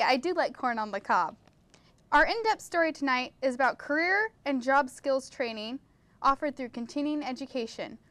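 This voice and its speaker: A woman's voice: high-pitched, fairly bright tone. A woman anchoring a scripted mock newscast, introducing reports in news-anchor style. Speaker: news anchor in a college's comedic promo newscast.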